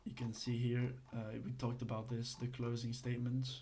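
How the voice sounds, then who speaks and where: Guy explaining stuff in a lesson, lots of uhs and restarts, pretty monotone. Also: mid-range voice, moderate pace, slight background noise. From Belgium. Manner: hesitant, many fillers and restarts. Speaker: instructor.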